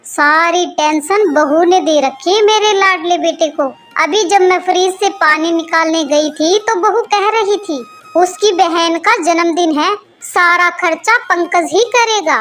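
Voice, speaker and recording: A woman's voice: very high voice, a little slow, few pauses, fairly bright tone, a little hiss or hum, pitched above the performer's own voice. A woman voicing a plaintive, fretful old wife and doting mother in a dramatized moral story. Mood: tearful complaint.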